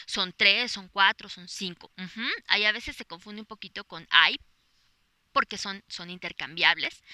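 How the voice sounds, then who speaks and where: A woman's voice: medium pitch, pushed and bright, no echo. A woman with a Mexican accent, a Spanish teacher giving a podcast lesson outdoors in a park, explaining patiently with many fillers and examples.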